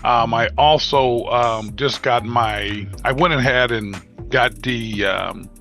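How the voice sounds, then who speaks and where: A man giving a halting, casual progress update as a caller on a group call. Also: mid-range voice, gravelly, sharp tone, faint music behind. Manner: halting, heavy with hesitations. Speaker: caller, course student. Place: online call.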